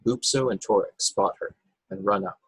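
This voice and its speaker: A man's voice: mid-range voice, fairly even intonation, normal speed, breathy edge, dry recording, rough recording. A man narrating screenplay stage directions in a table read over a video call.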